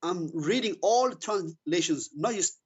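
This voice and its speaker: A man's voice: high voice, lively intonation, measured pace, darkish tone, slightly rough, muffled recording. A man calling into a debate, defending himself hesitantly, pleading and repeating words. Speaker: caller.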